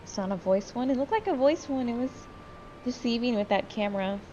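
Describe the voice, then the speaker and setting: A woman's voice: a little high, expressive, fairly quick, mellow, muffled recording, a little hiss or hum. A sleepy woman chatting casually and candidly with friends over gameplay, swearing freely as she goes. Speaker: gamer commentating with friends. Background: game music.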